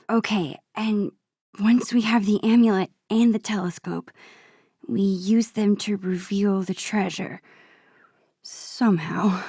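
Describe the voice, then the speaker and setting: Nerdy teen girl from a video game, soft n breathy, kinda slow, wry and awkward, super dry close recording. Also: a little high, dry recording, heavily acted. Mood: curious, playful. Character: nerdy, gentle, nostalgic teenage girl, teasing her best friend. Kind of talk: naturalistic video game dialogue acting.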